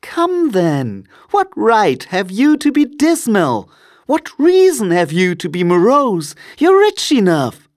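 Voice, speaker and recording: Man playing an eager, high-pitched cheerful young nephew. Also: huge pitch swings, takes it slowly, exaggerated voice. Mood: good-natured challenge. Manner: bright, exclamatory, eager. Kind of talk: dramatised storybook reading.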